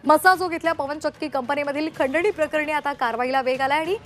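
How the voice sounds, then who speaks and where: A woman anchors a television news bulletin in a distinctly high voice. Faint music plays underneath her narration. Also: fairly quick.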